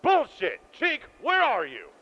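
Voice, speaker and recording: A man playing a proud, zealous, commanding armored soldier in an audio drama, gravelly, with slightly dull sound. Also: very high voice, huge pitch swings, measured pace, full character voice. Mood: defiant anger. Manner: forceful, commanding, militant. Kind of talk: post-apocalyptic audio drama acting.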